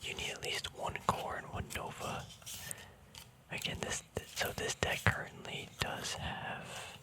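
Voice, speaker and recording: A man speaking in a breathy, unvoiced whisper, rambling casually in ASMR style as if thinking aloud. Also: a little slow. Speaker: ASMR video creator. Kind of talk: ASMR, casual rambling commentary on a card deck.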